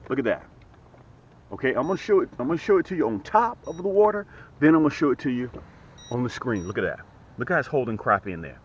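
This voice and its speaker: A man hosting a casual fishing vlog from a small boat on a lake, excitable and repetitive, often dropping to a hushed voice as he talks straight to viewers. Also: medium pitch. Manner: drops to a hushed voice on the water. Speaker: fishing channel host. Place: small boat on a lake outdoors, early morning rain. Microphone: action camera mounted on the boat. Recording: dull lo-fi camera audio with faint outdoor noise.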